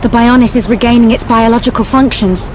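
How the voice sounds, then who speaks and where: A woman's voice: on the high side, fairly even intonation, a little fast, background noise, lightly acted. A woman playing a dignified, resolute young royal in a video game cutscene, formal and earnest. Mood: thoughtful. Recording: loud music behind the voice.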